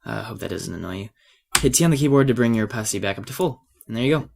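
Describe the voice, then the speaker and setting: A man with a fairly low voice, made a little raspy by a cold, presents an unscripted software lesson. He speaks casually and hesitantly, working things out aloud as he goes. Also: animated, very fast. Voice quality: slightly raspy. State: has a cold. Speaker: tutorial presenter.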